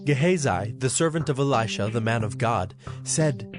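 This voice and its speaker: A man's voice: mid-range voice, lively intonation, moderate pace. A man giving scripted narration for a dramatized scripture recording. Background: instrumental music.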